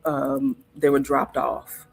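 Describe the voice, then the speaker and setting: A woman's voice: extremely low pitch, big pitch swings, slow, gravelly, slightly rough. A woman from South Carolina, a podcast guest telling stories in casual conversation, thoughtful and often stopping to restart with ums. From Hilton Head, South Carolina.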